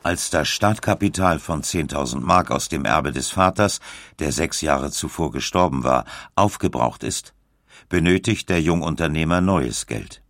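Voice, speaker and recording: A man reading scripted documentary narration in a measured, clearly articulated way. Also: very deep voice, no room sound, clean studio sound. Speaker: audiobook narrator.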